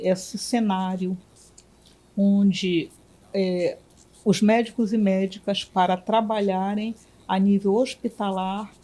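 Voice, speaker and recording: A woman from Belém, a physician and union director, answering interview questions in a reflective way with many pauses and restarts. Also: medium pitch, warm tone. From Belém, Brazil.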